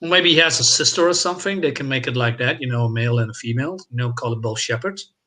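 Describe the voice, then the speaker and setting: A man's voice: mid-range voice, fast, pushed and bright. A man chatting casually as a podcast co-host, enthusiastic and swearing freely. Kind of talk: casual chat.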